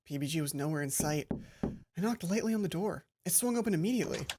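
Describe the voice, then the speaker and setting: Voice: medium pitch, expressive, quick pace, a little mellow, a little hiss or hum. A let's play host with a rambling, playful, self-interrupting way of talking, reading game text aloud and commenting on it. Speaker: gaming video host. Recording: game music under the voice. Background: video game music.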